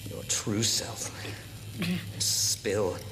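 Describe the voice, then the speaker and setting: A sadistic cult zealot for a video game. The low voice swings wildly from hushed to roaring, theatrical and preacherly, in a roomy echo with loud music. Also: male voice, sing-song, very slow, noisy background, heavily acted. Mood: menacing, hushed. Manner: theatrical, swinging from whisper to shout. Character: sadistic male cult zealot, theatrical fire-and-brimstone villain. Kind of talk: video game cutscene acting.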